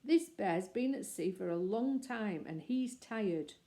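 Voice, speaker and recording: A woman's voice: mid-range voice, animated, slow pace, dark tone. A woman reading aloud voices a worried, firm middle-aged father who speaks in a reassuring way.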